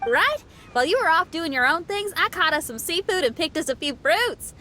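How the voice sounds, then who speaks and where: A woman voicing a lively, friendly young woman in a video game, upbeat and casual. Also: very high voice, a little fast, a little hiss or hum, exaggerated voice. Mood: upbeat, hospitable. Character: lively, friendly, generous young female camper and cook. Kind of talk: video game character acting.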